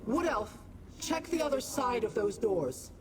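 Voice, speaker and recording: An actress voicing an aloof, commanding demonic sorceress in a fantasy video game, speaking deliberately. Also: female voice, on the high side, expressive, slight background noise. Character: imperious, aloof female Daedric sorceress.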